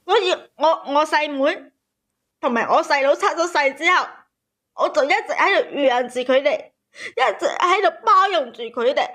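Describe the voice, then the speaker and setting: High voiced woman phoning in w her personal story, plaintive n kinda aggrieved. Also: lively intonation, moderate pace, a bit bright. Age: 30s. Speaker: caller.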